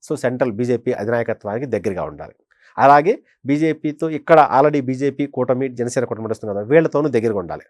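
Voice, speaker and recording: A man's voice: mid-range voice, animated, fast talker, darkish tone, no echo. Emphatic male political analyst, dry sound. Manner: emphatic, repeats phrases for stress. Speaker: senior journalist, political analyst. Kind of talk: conversational political commentary.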